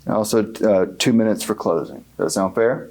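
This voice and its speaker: Low-voiced casual male forum moderator. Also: fairly quick, darkish tone. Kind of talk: informal procedural moderating.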